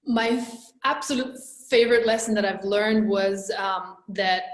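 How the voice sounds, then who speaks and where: A woman's voice: medium pitch, a little slow, roomy sound. A young woman from Romania, a mindset coach and guest, talking in a flowing, reflective way and repeating words as she thinks. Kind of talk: casual interview conversation.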